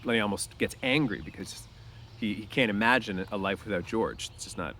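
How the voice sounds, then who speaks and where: Male reader, lowish voice, conversational and explanatory, narrates then breaks into casual asides, noisy background. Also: fairly quick, sharp tone.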